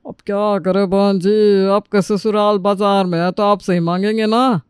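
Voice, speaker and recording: Casting: male actor as chatty cartoon street vendor, notably high and clear, polite and thankful. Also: little pitch movement, normal speed, dry recording, studio quality, lightly acted. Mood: pleading. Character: friendly, grateful male street-food vendor. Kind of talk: Hindi cartoon story character voice.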